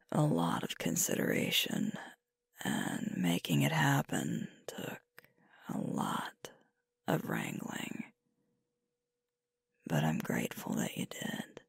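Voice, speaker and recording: A woman's voice: very deep voice, no room sound, heavily acted. A woman playing a sweet, sleepy, affectionate girlfriend in an intimate ASMR roleplay, murmuring tenderly close to the microphone. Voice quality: breathy, whispery. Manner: slow, drowsy, tender murmuring. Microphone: close microphone, dry.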